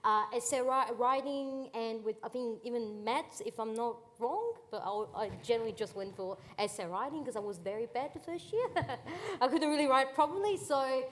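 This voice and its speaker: A woman's voice: a little high, animated, moderate pace, few pauses. Woman student panelist, native Italian speaker, earnest and hesitant. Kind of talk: casual panel talk.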